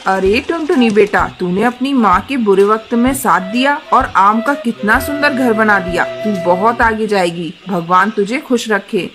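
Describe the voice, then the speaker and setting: Woman putting on a kindly forest bird, lowered voice. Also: measured pace, few pauses, over music, close to an everyday voice. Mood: approving. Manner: approving, blessing-giving. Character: kindly adult forest bird giving praise.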